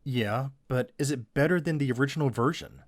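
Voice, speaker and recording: A man co-hosting a conversational commentary on an animated episode, with an animated, bantering delivery. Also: mid-range voice, a little fast, faint music behind. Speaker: co-host of fan commentary video.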